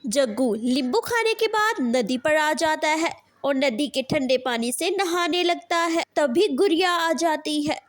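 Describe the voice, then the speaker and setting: A woman with a high-pitched voice narrates a children's cartoon. She reads the scripted tale as a storyteller. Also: unhurried, few pauses.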